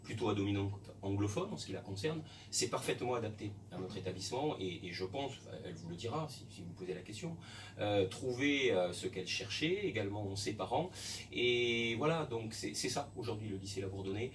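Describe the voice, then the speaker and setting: A middle-aged French man, a school principal, speaking in a radio interview in a measured way, often pausing and restarting. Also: fairly low pitch, animated. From France. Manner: measured, hesitant with many restarts. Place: radio studio. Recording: distant mic with faint background noise.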